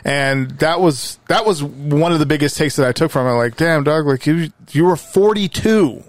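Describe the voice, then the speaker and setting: A man's voice: a little high, normal speed, dry recording. A man hosting a podcast in a casual chat, swearing often and rambling with fillers and restarts. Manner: loose and profane, rambling with fillers. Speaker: podcast host; musician.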